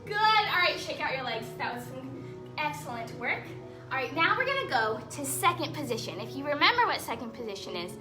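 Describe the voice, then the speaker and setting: Young female kids' dance teacher, very animated and encouraging, recorded at a distance in an echoey room with music. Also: high-pitched, brisk. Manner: encouraging, praising as they go. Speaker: children's ballet teacher. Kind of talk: instructing a children's dance class.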